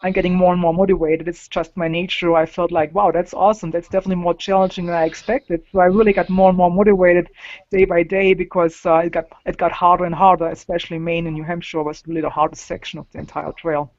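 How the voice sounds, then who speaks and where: A woman with a German accent, a native German speaker, answering interview questions casually in a halting way, often repeating words and using non-native phrasing. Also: lowish voice, little pitch movement, darkish tone, dull audio. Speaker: interview guest.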